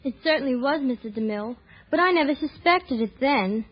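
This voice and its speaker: A woman's voice: high-pitched, animated, fairly quick, slightly rough. A teenage girl of sixteen from New York City, giving a scripted 1930s radio interview. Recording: muffled old radio broadcast.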